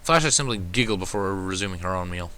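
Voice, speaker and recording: A man narrates a story in the first person, reading from a script. His voice sits on the low side, with a bright, forceful edge. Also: lively intonation, fast talker, slight background noise. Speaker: fan fiction reader.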